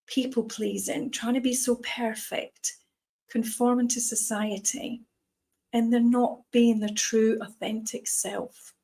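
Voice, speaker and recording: A woman's voice: a little high, a little mellow. A woman with an Edinburgh Scottish accent, a meditation teacher giving an earnest motivational talk full of rhetorical questions. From Scotland. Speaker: guest speaker, meditation teacher.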